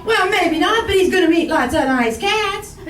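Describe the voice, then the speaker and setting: A woman's voice: very high voice, expressive, normal speed, fairly bright tone. A woman playing a nagging, romantic, chatty wife in a radio comedy sketch, needling and plaintive. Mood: fretful, wheedling. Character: nagging, romantic, chatty wife who won't let husband sleep. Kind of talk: old-time radio comedy sketch acting. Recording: echoey live room, distant mic, faint noise.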